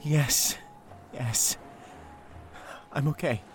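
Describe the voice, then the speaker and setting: A man's voice: fairly high pitch, very slow, music in the background. A man playing an earnest, tender young man in love, with a hesitant, heartfelt delivery in an audio drama. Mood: soft, resolute.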